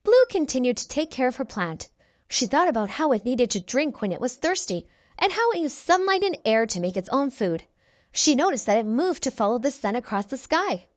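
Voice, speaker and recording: A woman's voice: high-pitched, big pitch swings, brisk, no room sound. A woman narrating a children's book aloud, expressively and with careful enunciation. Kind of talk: read-aloud storytelling for young children.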